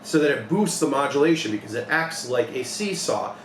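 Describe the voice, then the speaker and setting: A young man with a mid-pitched voice gives a practical, informal tutorial. He explains each step in plain words, like a technician at the bench. The small workshop sounds echoey, with noise in the background. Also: lively intonation, brisk. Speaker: repair technician demonstrating a modification. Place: small cluttered electronics repair workshop, indoors.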